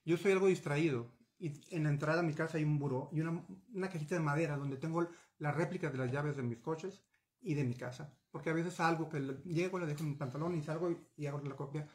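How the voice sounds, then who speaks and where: Hombre mexicano, cuarentón, entrevistado por webcam desde su despacho; tono algo agudo, habla agitada, gesticula, se corrige a menudo. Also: male voice, fairly high pitch, fast. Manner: animated, gesturing, many restarts and fillers. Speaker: interviewee. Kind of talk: recounting a personal story. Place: small home office with bookshelves. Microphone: video call webcam.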